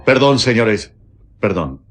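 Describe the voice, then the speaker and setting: An actor with a Castilian accent playing a terrified, guilt-ridden prison warden confessing haltingly in a radio drama. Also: male voice, mid-range voice, very animated, a bit bright, soft background music. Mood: pleading, trembling. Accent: Castilian Spanish. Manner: halting, trembling, pleading. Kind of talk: Spanish radio drama acting.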